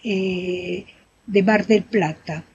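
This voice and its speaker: An older woman giving testimony haltingly, with many fillers and pauses. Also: medium pitch, steady tone, a little mellow, a little hiss or hum. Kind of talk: spoken testimony. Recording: dull, lo-fi testimony recording.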